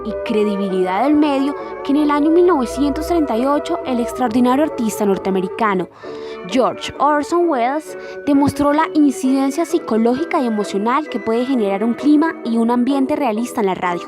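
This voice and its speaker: Female narrator, higher register, formal read of documentary script, prominent music bed. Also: animated, fairly quick. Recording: loud music behind the voice.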